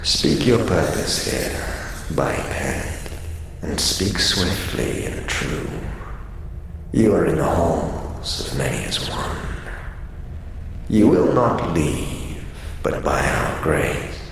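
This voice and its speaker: A man playing a menacing hive mind of telepathic rats in an audio drama, slow, imperious and accusing in a gravelly, whispery growl with cavernous reverb. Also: rumbling low, lively intonation, far from the mic, exaggerated voice. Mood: commanding, suspicious. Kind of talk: fantasy audio drama acting. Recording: long echoing reverb, music underneath.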